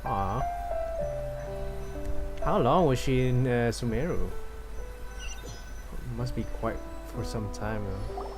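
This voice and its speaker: Slow, unhurried male game streamer. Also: medium pitch. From Malaysia. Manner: rambling, laid-back. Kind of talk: casual livestream commentary, reading game dialogue aloud. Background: game music.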